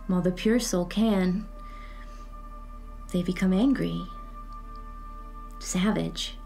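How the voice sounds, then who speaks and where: A woman acting a mellow, cryptic, eerie believer. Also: fairly low pitch. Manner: measured, cryptic, solemn delivery. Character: eerie, secretive woman who believes in spirits. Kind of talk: audio drama acting.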